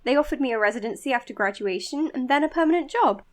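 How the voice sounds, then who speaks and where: A woman playing an earnest, modest young psychiatrist in an audio drama, speaking in a measured, precise, slightly hesitant way. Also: high voice, lively intonation. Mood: polite, modest. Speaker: doctor being interviewed on a podcast. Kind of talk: audio drama acting, natural interview and voice notes.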